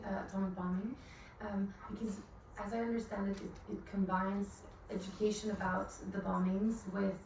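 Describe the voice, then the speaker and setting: A woman's voice: mid-range voice, noisy background. Woman, audience questioner, polite and formal; far-off, very echoey hall. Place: conference room.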